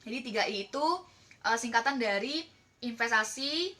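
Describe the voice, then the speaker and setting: A woman's voice: on the high side, normal speed, sharp tone. An Indonesian-accented woman presenting as a team leader, explaining casually and chattily while often checking in with listeners.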